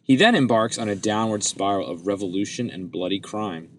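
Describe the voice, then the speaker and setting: Man with a lowish voice, joking. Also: fairly bright tone, slight background noise. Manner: chatty, quick asides and jokes. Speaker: podcast co-host. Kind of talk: casual chat.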